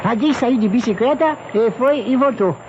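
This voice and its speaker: High-pitched halting police sidekick. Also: male voice. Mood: eager, deferential. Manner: halting, repetitive, tags sentences with questions. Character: deferential, puzzled police assistant with foreign-tinged speech. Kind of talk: old radio mystery acting. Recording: muffled, hissy old radio recording.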